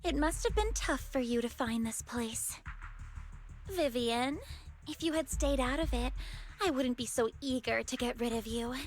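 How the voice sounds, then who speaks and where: A woman's voice: sits high, unhurried. An actress voicing a cold, scheming cult woman in a video game, condescending and persuasive, with music behind. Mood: disdainful. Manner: condescending, persuasive, coolly mocking.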